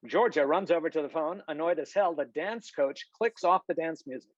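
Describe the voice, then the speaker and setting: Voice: medium pitch, brisk, no echo. A narrator reading scripted stage directions for a screenplay table read over a video call. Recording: dull, lo-fi video call audio.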